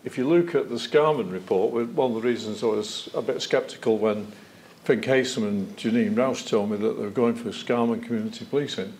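A man's voice: mid-range voice, fairly quick, slight background noise, rough recording. An older man, a former police chief, telling anecdotes as a witness at an evidence session in a lecture theatre. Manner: anecdotal, animated hand gestures. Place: lecture theatre, seated at a desk.

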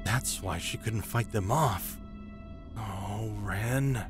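A man's voice: on the low side, drawn-out words, music in the background. A man playing a serious, urgent adventuring hero in a fantasy audio drama. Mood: grim, dismayed.

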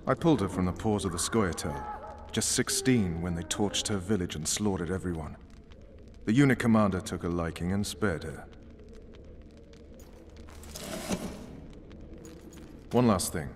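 A man's voice: deep voice, moderate pace, close to an everyday voice. A man playing a stern, commanding special forces interrogator in a video game, blunt and authoritative. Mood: calm, grave. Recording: long hall reverb, faint ambient noise.

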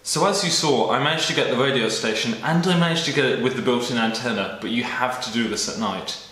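A man's voice: mid-range voice, quick pace, bright, forceful tone, echoey room, poor audio. A young man presenting a hobby video in a casual, explanatory style to camera. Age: young adult. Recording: clear background noise, some outdoor ambience.

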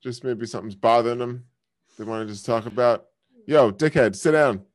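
A man hosting a live stream, heckling strangers in random video chats with loud, crude, swearing banter. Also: medium pitch, a little fast. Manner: loud, taunting, swearing often. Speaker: streamer host.

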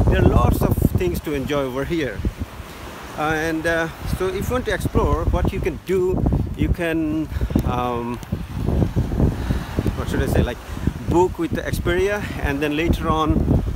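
A man giving halting travel tips from a beach, with many fillers and restarts. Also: on the high side. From Bangladesh. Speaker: travel vlogger. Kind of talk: casual travel tips to camera. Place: outdoors on a sunny beach by the sea. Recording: loud wind noise on phone mic.

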